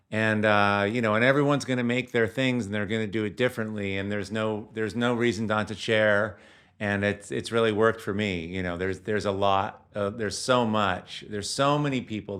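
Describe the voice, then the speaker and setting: A man's voice: fairly low pitch, a bit bright, clean tone. A man hosting a casual interview, encouraging his guest and agreeing often, with frequent fillers. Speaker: podcast host.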